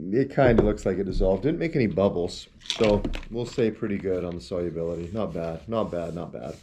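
An easygoing man in his forties chats through a product review to a desk camera. His voice sits a little low, and he rambles while handling the tub and shaker in a small room. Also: darkish tone, a little hiss or hum. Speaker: protein powder reviewer. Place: small indoor room with shelves of supplement tubs. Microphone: camera at a desk, wide framing.

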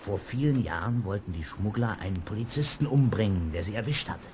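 A man's voice: on the low side, lively intonation, fairly quick, a little mellow, lightly acted. An actor playing a boatman guide who tells spooky tales with dramatic suspense in a German children's audio drama. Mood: ominous, suspenseful. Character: male boatman guide, teasing spooky storyteller. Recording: noisy vintage radio play with ambience.

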